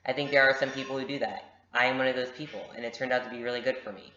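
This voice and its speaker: A man's voice: mid-range voice, fairly even intonation, rapid-fire, pushed and bright, reverberant, highs cut off. A young man answering viewers' questions in a casual vlog, frank and direct, with lots of fillers. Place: home room with a pet bird. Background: bird noises.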